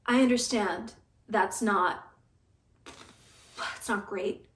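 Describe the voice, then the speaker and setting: A young woman in her twenties talking in a casual vlog, dry and deadpan, griping with stammered restarts. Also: mid-range voice, slow pace, a little hiss or hum. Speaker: vlogger. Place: apartment room. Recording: echoey room, mic at a distance.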